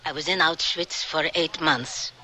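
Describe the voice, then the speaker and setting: An actress playing a grieving older woman who survived the camps, speaking in a measured, halting, solemn way in a radio drama. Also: deep voice, steady tone, bright, forceful tone. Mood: haunted, grieving.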